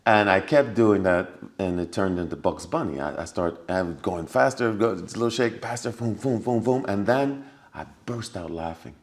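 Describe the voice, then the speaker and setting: A man with a very low, deep voice shares a personal story as a podcast guest. He talks casually and acts scenes out with little sound effects and bursts of laughter. When he repeats what was said, he sinks into a hushed, quiet tone. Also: expressive, normal speed. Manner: drops to a hushed, quiet voice for remembered lines.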